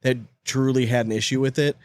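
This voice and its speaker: A man hosts a casual sports podcast in a middle-pitched voice. He is blunt and emphatic and swears easily. The sound is dry, with very little room in it. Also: fairly even intonation, brisk, no echo. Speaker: podcast host.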